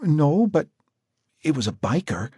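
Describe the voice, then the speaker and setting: A man voicing a frightened teenage runaway girl who stammers and sobs in a high, trembling voice. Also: big pitch swings, measured pace, warm tone, breathy edge, no echo, clean studio sound, full character voice. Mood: tearful.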